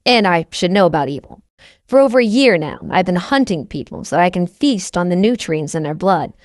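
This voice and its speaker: A woman's voice: lowish voice, lively intonation, brisk, no room sound, clean studio sound. A woman reading a first-person novel aloud as an audiobook narrator.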